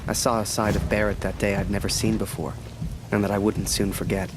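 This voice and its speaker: A man's voice: fairly low pitch, flat delivery, fairly quick, background noise, lightly acted. A man playing a naive, earnest and anxious young war photographer in a dramatic video game. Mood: reflective. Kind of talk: reflective narration and dramatic game dialogue. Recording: music and rain behind. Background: music, rain.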